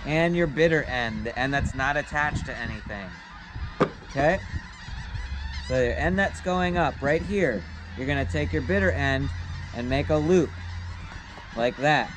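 A young man, a sailing instructor, calmly walking through tying a knot step by step, recorded outdoors on a boat deck. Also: on the high side, background noise. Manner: patient, step-by-step, plain-spoken. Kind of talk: hands-on knot-tying demonstration.

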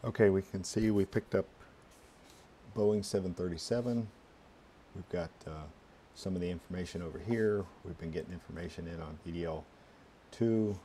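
Low-voiced man thinking aloud. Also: dark tone, slight background noise. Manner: halting, unscripted, muttering through steps. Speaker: tutorial presenter. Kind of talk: casual screencast walkthrough, thinking aloud.

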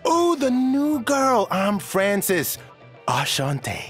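A man's voice: high voice, sing-song, slow pace, fairly bright tone, faint music behind, exaggerated voice. An actor playing a flamboyant, playful townsman in a video game.

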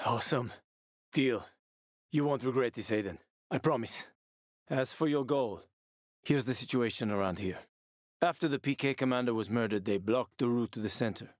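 A man's voice: medium pitch, dry recording, clean studio sound, close to an everyday voice. An actor playing a wry, world-weary male survivor in a video game, speaking in a casual, sardonic way. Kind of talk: video game character dialogue.

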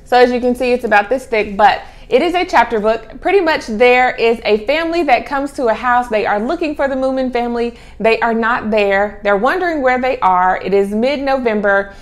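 A middle-aged woman with a fairly high voice talks casually to camera as a vlog host. She presents like a mother sharing children's books, leaning on key words and repeating some for emphasis. She is recorded on a clip-on mic in a small room at home. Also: normal speed, few pauses. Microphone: clip-on lapel mic.

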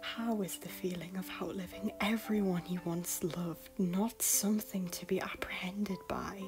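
A woman playing a solemn, wistful noblewoman in an animated drama, speaking in hushed, breathy, formal poetic phrases. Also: lowish voice, unhurried. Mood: regretful, uneasy. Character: solemn, wistful noblewoman nursing lost love. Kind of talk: dramatic animated-film voice acting. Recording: music under the voice.

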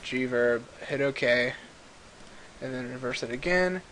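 A man hosts a software tutorial in a casual, rambling way. His pitch is average for a man. He is on a computer mic set a little away, with clear hiss over the recording. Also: measured pace. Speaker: tutorial host. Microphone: computer mic a little far away.

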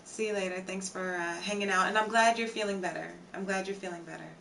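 A young woman with a hoarse, tired voice, chatting casually with her viewers on a home live stream and filling her talk with "like" and "um". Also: mid-range voice, steady tone, quick pace, a bit bright, recorded from a distance, a little hiss or hum. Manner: chatty, reads out chat names, many fillers. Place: home streaming room with shelves.

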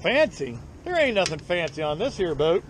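A man's voice: high-pitched, very animated, normal speed. A middle-aged man with a Southern US accent, a charter fishing captain chatting casually and jokingly with salty language out on a boat. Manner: folksy, salty-tongued joking. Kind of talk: casual chat while fishing. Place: outdoors on an open fishing boat at sea. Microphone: action camera on the boat. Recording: outdoor boat and engine noise.

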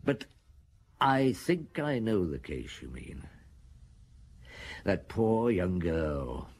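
Middle-aged male detective chief, tired but tender, murmuring, lively pitch movement, faint background noise. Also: very slow, vocal fry, full character voice. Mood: wry, reflective. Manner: ruminative, murmuring, sighing. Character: weary, compassionate male French police chief inspector. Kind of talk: British radio drama acting. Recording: noisy radio drama with background ambience.

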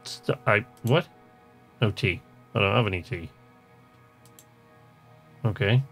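A man's voice: lowish voice, slow, fairly bright tone, soft background music. A man giving casual gameplay commentary, talking to himself and reading game text aloud in an easily amused, musing way. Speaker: gamer, video host.

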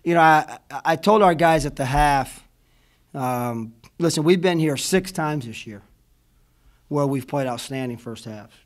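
A man's voice: fairly high pitch, a little slow. A man in his fifties, a college basketball head coach, speaking at a postgame press conference from a table mic, rambling and heartfelt with many fillers. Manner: rambling, emotional, many fillers like "you know what I mean". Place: indoor press room before a logo backdrop. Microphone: table mic in front of him.

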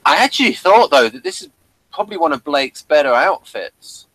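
A man's voice: on the high side, very animated, normal speed, fairly bright tone, no echo, poor audio. A man hosting a casual episode review chat, talking in a hesitant, rambling way and addressing listeners. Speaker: host of a TV review discussion.

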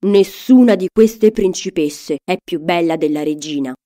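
A woman's voice: fairly low pitch, unhurried, dark tone, close to an everyday voice. An ageing king in a fairy tale, read by a woman. He is solemn and commanding. Each line comes out firm and declarative.

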